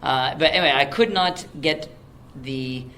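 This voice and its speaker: A man co-hosting a casual movie podcast, talking in an animated way and often mimicking his co-host. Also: mid-range voice, unhurried. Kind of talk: casual chat.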